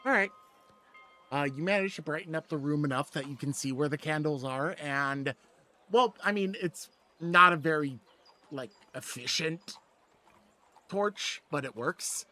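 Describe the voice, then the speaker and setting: A man's voice: fairly high pitch, measured pace, pushed and bright. A man speaking informally as game master, narrating the scene and teasing the players in casual banter.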